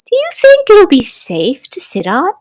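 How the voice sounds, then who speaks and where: A woman's voice: very high voice, big pitch swings, measured pace, background noise, pitched above the performer's own voice, full character voice. A woman voicing a cautious older girl who asks doubtful questions. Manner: hesitant, questioning.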